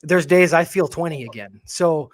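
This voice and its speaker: A man in his forties co-hosting a casual livestream chat, speaking in a reflective, halting way with many fillers. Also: on the high side. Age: 40s. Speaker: livestream co-host.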